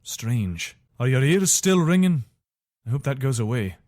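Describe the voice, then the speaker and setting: A man's voice: mid-range voice, very animated, a little slow, darkish tone, no echo, studio quality. A man playing a loyal, weary imperial soldier in a fantasy video game, speaking in an earnest, conversational, soldierly way. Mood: wry, friendly. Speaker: voice actor for a game character. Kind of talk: video game character dialogue.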